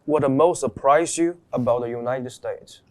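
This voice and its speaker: A teenage boy reads interview questions at a middle pitch in a school studio. He fills the gaps with soft murmurs of acknowledgment. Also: moderate pace. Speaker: student interviewer.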